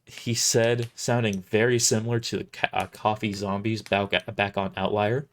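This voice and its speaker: A narrator reading a first-person fantasy audio drama in a deliberate, emotive way. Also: mid-range voice, level tone, slight background noise.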